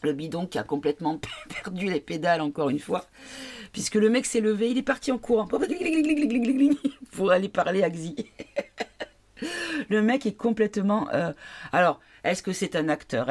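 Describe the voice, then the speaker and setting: A woman giving a casual card reading, tired and congested from a cold, halting as she searches for her words. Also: on the low side, darkish tone. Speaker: card reader.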